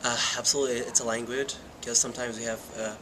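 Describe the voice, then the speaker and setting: A man's voice: mid-range voice, level tone, fast talker. A man from Saudi Arabia with a Saudi Arabian accent, a student answering an interview hesitantly. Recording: clear background noise.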